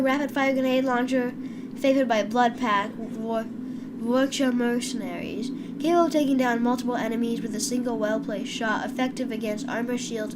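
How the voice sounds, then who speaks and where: A woman's voice: a little high, heavy background noise. A woman with a stuffy voice from a cold, giving casual video game commentary and stumbling over words as she reads aloud. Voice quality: stuffy, congested. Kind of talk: casual let's play commentary, reading on-screen text aloud. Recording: loud game music behind voice.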